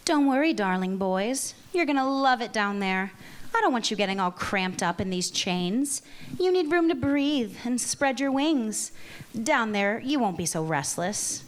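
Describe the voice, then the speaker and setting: A woman's voice: a little high, expressive, measured pace. A woman playing a seductive, cruelly sweet vampire villain in a script table read, talking with theatrical, condescending mock affection. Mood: mock-sweet menace.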